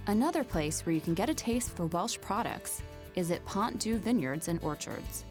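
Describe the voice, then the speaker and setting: A woman's voice: medium pitch, expressive, moderate pace, over music. A woman travel host narrating a scripted voice-over in a polished, upbeat way.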